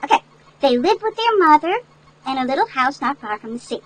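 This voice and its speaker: An older woman reading a picture book aloud to children in an animated, folksy way, with chatty asides that explain things. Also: high-pitched, fairly quick, a little hiss or hum. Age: older, great-grandmother. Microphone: headset.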